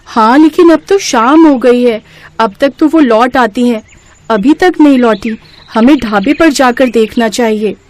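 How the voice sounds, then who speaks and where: A woman's voice: fairly high pitch, a little slow, a little mellow, lightly acted. A woman voicing a caring, polite young girl in an earnest, reassuring storybook manner. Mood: concerned. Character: young twin granddaughters, eager, caring, polite little girls. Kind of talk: storybook character acting.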